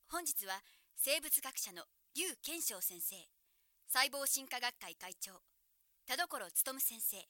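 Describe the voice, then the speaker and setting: Casting: actress playing a formal TV announcer, high and bright voice, dry close sound. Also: female voice, no echo, heavily acted. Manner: crisp, formal news reading. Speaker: news announcer.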